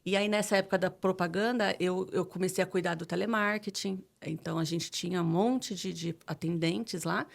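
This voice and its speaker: A woman's voice: fairly low pitch, fairly even intonation, normal speed, gravelly, few pauses. Brazilian woman recounting with quoted dialogue. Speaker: podcast guest, business administrator. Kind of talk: casual interview storytelling.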